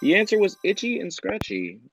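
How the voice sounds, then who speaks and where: A man's voice: high-pitched, wildly swooping pitch, brisk, vocal fry, fairly bright tone, rough recording. A man hosting a quiz show, addressing contestants directly in a presenter's delivery. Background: background music.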